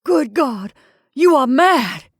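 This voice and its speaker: A woman's voice: fairly high pitch, takes it slowly, dry recording. Casting: female narrator as frightened elderly husband in a storybook, faltering and aghast, recorded dry. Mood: alarmed. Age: older. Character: fearful, tender old man, shaken husband and father.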